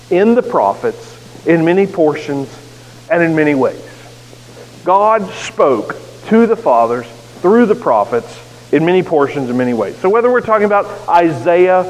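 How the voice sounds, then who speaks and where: A man's voice: a little high, darkish tone. A man with a neutral American accent preaching a sermon as pastor, earnest with touches of self-deprecating humor. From United States. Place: church sanctuary. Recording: echoey sanctuary with faint hiss.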